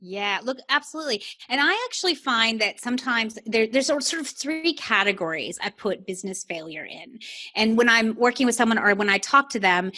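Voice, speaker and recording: A woman with a North American accent, a business coach chatting casually on a podcast, animated and often laughing. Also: on the high side, brisk, no echo. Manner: animated, laughs often, uses many fillers.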